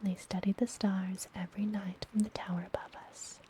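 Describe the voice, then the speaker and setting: A woman playing a friendly, mysterious witch healer in a whispered ASMR fantasy roleplay, soothing and gently teasing. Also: medium pitch, level tone, slight background noise, natural voice. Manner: soothing, unhurried, softly whispered.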